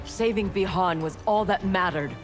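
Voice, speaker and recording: A woman's voice: fairly low pitch, normal speed, lightly acted. An actress voicing a stern, armored female clan warrior in a fighting game, speaking in a cold, clipped, menacing way. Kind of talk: game intro banter. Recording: game audio with music behind.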